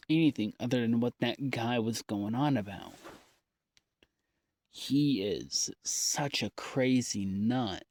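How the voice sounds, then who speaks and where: A man speaks into a personal audio log at a slow, halting pace, leaving long pauses between thoughts. His delivery is downbeat and folksy, rambling in a confessional way. The sound is dry, with no room around the voice. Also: medium pitch, darkish tone, no echo. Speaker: audio diarist.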